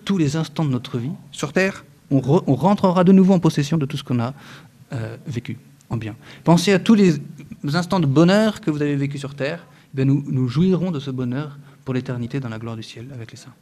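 A man's voice: fairly high pitch, brisk. Earnest man in his thirties teaching faith. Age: 30s. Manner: earnest and lively, with many hesitant fillers, restarts and touches of humor. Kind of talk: spoken religious teaching to an audience.

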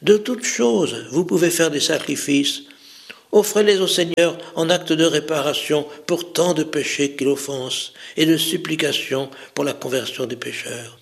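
A man voicing a gentle, solemn adolescent angel in a religious story, speaking in measured, prayerful phrases. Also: on the high side, vocal fry. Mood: solemn exhortation.

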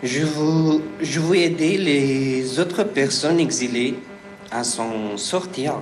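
A man, reading a personal testimony slowly and haltingly, with restarts. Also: on the high side, soft background music. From Afghanistan. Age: 30s. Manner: slow, halting delivery with restarts. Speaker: refugee giving testimony.

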